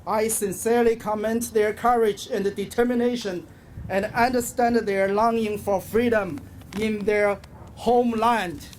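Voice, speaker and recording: A man delivers a prepared rally speech in measured, halting phrases with pauses. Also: high voice, steady tone. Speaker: activist speaker. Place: outdoors at a city rally. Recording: outdoor rally with crowd and street noise.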